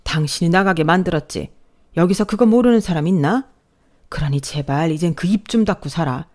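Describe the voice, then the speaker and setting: A woman voicing a gruff, exasperated older father-in-law who scolds bluntly and loudly. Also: on the low side, a little fast, lightly acted. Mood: angry scolding.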